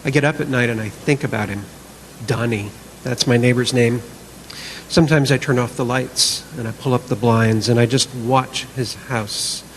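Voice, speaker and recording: A man's voice: mid-range voice, lightly acted. Man acting a bitter, brooding man with noisy background. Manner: aggrieved, obsessive, sarcastic. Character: bitter, sleepless man brooding over a feud with his neighbour. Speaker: reader in a church service skit. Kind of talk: dramatic monologue reading.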